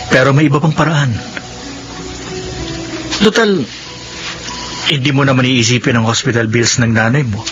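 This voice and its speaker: A man's voice: mid-range voice, lightly acted. Man playing a brusque doctor, muffled recording. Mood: firm, kind. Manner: brisk, matter-of-fact. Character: brusque but kindly male doctor, quietly generous. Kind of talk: Filipino radio drama acting. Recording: dull lo-fi sound, music behind.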